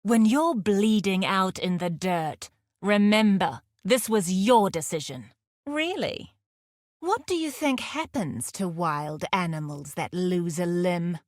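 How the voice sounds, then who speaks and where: A woman playing a fierce, aloof huntress in a video game, speaking in a measured, terse and commanding way. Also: fairly low pitch, lively intonation, no echo, studio quality. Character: fierce, aloof huntress with a predatory, survivalist temper. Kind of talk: scripted game combat barks and dialogue.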